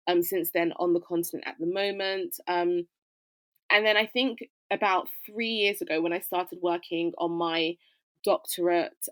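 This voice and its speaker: A woman with a low voice talks through her answers in a reflective, conversational way, with plenty of ums and you-knows. The recording is dry and close, with no room sound. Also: no room sound. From United Kingdom. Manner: conversational, reflective, frequent fillers. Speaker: guest, fashion educator. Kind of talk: interview answer.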